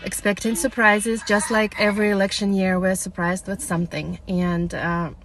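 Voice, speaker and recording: Woman from Kazakhstan, calm interviewee, medium voice, faint background noise. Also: mid-range voice, moderate pace. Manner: plain, calm, with fillers. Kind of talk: casual interview.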